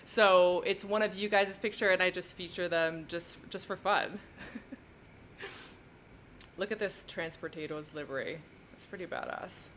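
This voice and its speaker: A woman's voice: lowish voice, steady tone, a little slow, long pauses, a bit bright, slight background noise. A woman with a French Canadian accent hosting a flight sim livestream, chatting casually, giggling often and talking through her cockpit steps. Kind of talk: casual livestream chat while flying.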